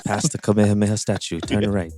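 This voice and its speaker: Playful joking man with a low voice. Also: fairly even intonation, quick pace, noisy background. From Hawaii. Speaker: podcast co-host. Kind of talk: casual podcast chat.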